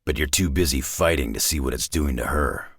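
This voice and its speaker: Gruff middle-aged superhero brawler, very low husky rasp, weary, blunt, terse, close dry sound, video game. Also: male voice, brisk, fairly bright tone, no room sound, full character voice. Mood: blunt, world-weary. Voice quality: deep gravelly rasp. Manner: terse, dry, folksy tough-guy talk with dropped words.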